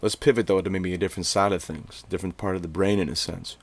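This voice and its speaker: A man's voice: deep voice, steady tone, fast talker, slight background noise. A man in his twenties from Maine, hosting a podcast in loose, slangy casual chat. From Maine, USA. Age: 20s. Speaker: podcast host.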